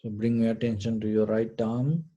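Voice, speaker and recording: A man leading a guided meditation, giving short, deliberate instructions in a gentle, soothing manner. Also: fairly low pitch, fairly even intonation, lo-fi sound. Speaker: meditation teacher.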